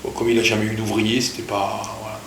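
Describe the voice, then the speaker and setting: A man in his fifties, born in Portugal, recalling his family's past in a relaxed, halting way with many pauses and fillers. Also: fairly low pitch, roomy sound, slight background noise. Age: 50s. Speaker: interviewee telling his family's migration story. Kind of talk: casual spoken recollection.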